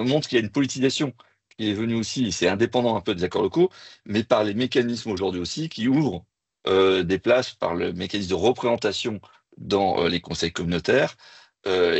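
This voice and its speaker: A man giving an expert's explanation in a panel discussion, with halting, hesitant phrasing. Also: lowish voice, gravelly, slightly rough, no echo. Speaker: expert guest.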